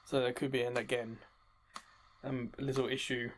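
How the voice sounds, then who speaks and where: A man's voice: mid-range voice, flat delivery, moderate pace, a little hiss or hum. A man giving casual commentary as the host of a video game playthrough. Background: game music.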